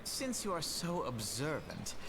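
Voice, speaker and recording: Haughty fantasy game brother, animated and sarcastic, archaic formal diction, noisy ambient backdrop. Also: male voice, fairly high pitch, measured pace, gravelly, full character voice. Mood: sardonic. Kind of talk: dramatic fantasy game voice acting.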